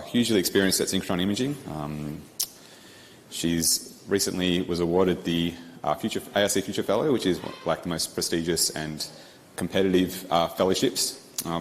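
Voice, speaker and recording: A man, a university lecturer making class announcements and introducing a guest, halting with many ums and uhs. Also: sits low, a little hiss or hum. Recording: echoey lecture hall, distant mic.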